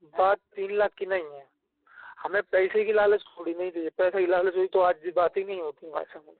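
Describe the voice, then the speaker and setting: Young male, unusually high pitch, defensive in a family dispute, thin phone-line sound. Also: steady tone, brisk. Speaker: son-in-law on a phone call. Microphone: telephone. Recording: tinny recorded phone call.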